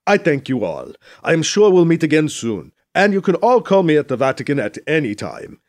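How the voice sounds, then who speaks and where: Guy doing a dignified church leader, formal n measured, gently scolding, varied intonation, audiobook. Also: a little high, very animated. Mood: gracious, chiding.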